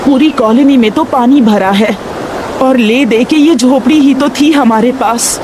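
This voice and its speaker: A woman's voice: high voice, a little slow, background noise. A woman playing a poor, caring and practical wife and mother in a cartoon moral story, with a concerned, soothing manner. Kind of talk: Hindi animated moral story dialogue. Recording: music behind the voice.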